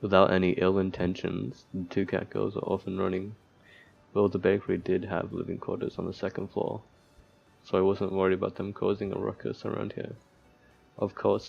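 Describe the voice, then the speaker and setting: A man's voice: low-pitched, creaky, a little mellow, breathy edge. A man doing casual gameplay commentary, reading game text aloud and sometimes stumbling, recorded with a slightly dull lo-fi sound. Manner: mock-despairing asides, stumbles while reading. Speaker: gaming video host.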